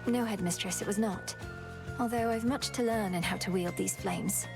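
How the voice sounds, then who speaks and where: A woman's voice: mid-range voice, moderate pace, vocal fry, close to an everyday voice. An actress playing a dutiful, determined young witch princess in a fantasy game, speaking formally and earnestly. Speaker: actor voicing a game character. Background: game music.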